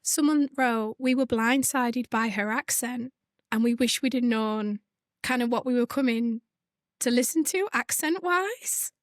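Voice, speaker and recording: A woman with a Yorkshire accent and a husky, worn voice, chatting as a podcast guest and laughing often. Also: a little high, unhurried, no room sound, clean studio sound. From Northern England. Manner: laughs often, self-deprecating. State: husky from overuse. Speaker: guest, events business founder. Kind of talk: casual podcast conversation.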